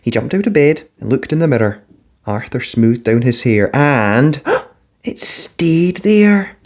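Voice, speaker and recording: A man reading a children's picture book aloud in a gentle, expressive bedtime-story manner. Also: medium pitch, slow pace.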